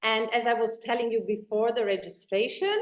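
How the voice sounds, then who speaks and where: A woman's voice: fairly high pitch, steady tone, brisk. An Italian woman with a German-tinged accent, a real estate executive answering interview questions in a composed, businesslike way. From Italy. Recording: echoey room.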